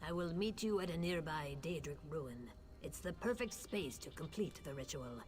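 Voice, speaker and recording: A woman playing a grave mystic who speaks for the dead, solemn, measured and formal, in a fantasy game. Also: deep voice, animated, faint music behind. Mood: calm, instructive. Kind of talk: scripted fantasy game dialogue.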